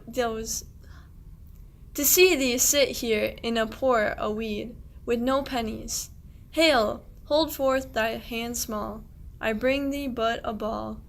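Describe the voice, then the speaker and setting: A woman playing a kindly, devout medieval shepherd, declaiming rhymed verse in a radio play. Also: on the high side. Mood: tender.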